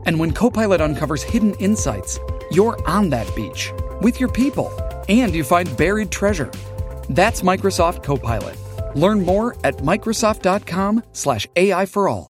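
Music plays under a male advertising voice-over. His voice is high and swings freely in pitch as he delivers scripted, upbeat copy. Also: very animated, moderate pace. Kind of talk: scripted upbeat commercial narration.

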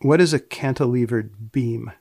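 Creaky-voiced man hosting a podcast. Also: mid-range voice, normal speed, a little mellow, no echo, studio quality. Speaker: podcast host. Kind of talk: scripted narration and interview questions.

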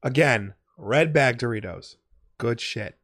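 A young man co-hosting a casual podcast, laid-back and quick to chime in with agreement. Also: medium pitch, a bit bright. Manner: laid-back, lots of short agreeing replies. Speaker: podcast co-host.

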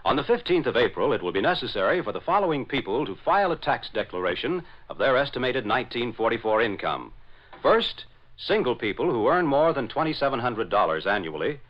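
An American man announcing and narrating in scripted 1940s radio style, with formal, dramatic enunciation. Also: medium pitch. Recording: dull lo-fi 1940s radio broadcast.

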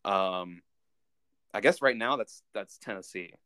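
A man hosting a casual sports podcast, talking in a halting way as he thinks out loud. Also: on the low side, no room sound, studio quality.

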